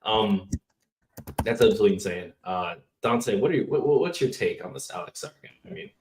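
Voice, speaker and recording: Hyped low-voiced man in an echoey room. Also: brisk, distant mic. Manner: excitable, hype, leading the discussion. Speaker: podcast co-host. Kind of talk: casual sports podcast chat.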